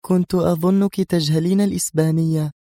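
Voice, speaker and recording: A man voicing a quiet, sly young woman agent in an audio drama, with a murmuring, knowing delivery. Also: high voice, fairly even intonation, moderate pace, no room sound, clean studio sound, voice pushed up.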